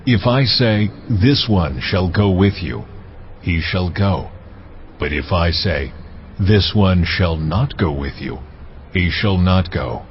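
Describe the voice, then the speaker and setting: A man's voice: sits low, animated, slight background noise. An actor playing God in a dramatised audio Bible, a solemn male deity who speaks with weight and command. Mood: stern command. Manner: slow, weighty, deliberate delivery. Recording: muffled audio under music.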